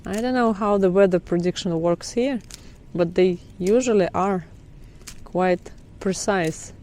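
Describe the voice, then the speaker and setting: Middle-aged female vlogger with a Russian accent, relaxed and mellow, casual chatty delivery. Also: medium pitch, measured pace. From Kazakhstan. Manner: chatty, laughs often, non-native phrasing. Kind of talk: casual vlog chat. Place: outdoors by trees in melting ice.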